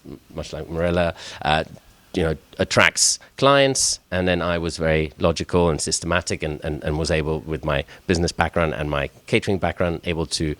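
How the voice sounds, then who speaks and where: A man's voice: very deep voice, a bit bright, no room sound. A middle-aged man who grew up in Switzerland, a chef speaking as a guest, telling his personal story in a hesitant way and often repeating words as he starts his sentences.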